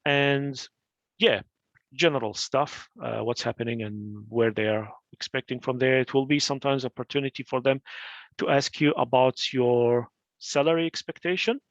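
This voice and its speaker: A man's voice: medium pitch, normal speed, no echo, dull audio. A man from Iraq presenting career advice in an informal talk, full of fillers and restarts, on a video call. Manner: advisory, with frequent fillers and restarts.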